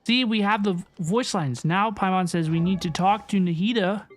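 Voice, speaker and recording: A woman's voice: mid-range voice, moderate pace. A woman giving casual, chatty playthrough commentary as a livestreamer, often repeating phrases for emphasis. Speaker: video game livestreamer. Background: soft game music.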